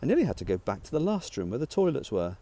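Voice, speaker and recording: A man's voice: mid-range voice, wildly swooping pitch, fast, mellow, pitched above the performer's own voice. A man voicing an irritable, stressed man who scolds a teammate in an exasperated way, in an audiobook. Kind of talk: audiobook character dialogue.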